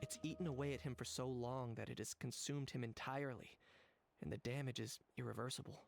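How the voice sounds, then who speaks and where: A man's voice: medium pitch, fairly even intonation, fairly quick, vocal fry, faint music behind, close to an everyday voice. A man voicing a cool, blunt young warrior in a video game, speaking in a direct, unruffled, matter-of-fact way. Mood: grave, explanatory. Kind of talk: video game character dialogue.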